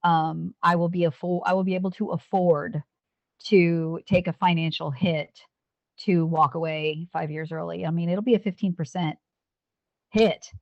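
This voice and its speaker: A woman's voice: on the low side, fairly even intonation, normal speed, dry recording, dull audio. A woman in her fifties, a police officer and Navy veteran, talking earnestly in an interview with frequent restarts. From United States. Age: 50s. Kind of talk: candid interview talk.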